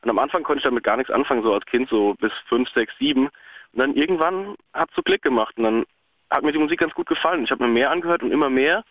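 A man's voice: mid-range voice, lively intonation, fast, no room sound, muffled recording. A male caller on a radio show, talking casually and hesitantly with slang. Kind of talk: phone-in chat.

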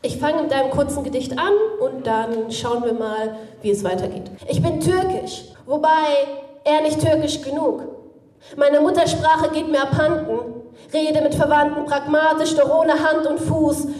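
A woman's voice: high voice, steady tone, normal speed, darkish tone, recorded from a distance. A young woman in her twenties performing a spoken word poem on stage, reciting in a rhythmic, lyrical way into a stage microphone. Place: outdoor stage venue. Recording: roomy live sound from afar.

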